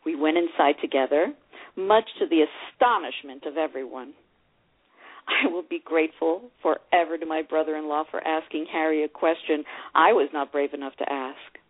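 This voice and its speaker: A woman playing a wistful older divorcee, reading her romantic memoir aloud in an expressive, sentimental way. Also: sits low, normal speed. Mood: tender. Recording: phone-like narrow radio sound.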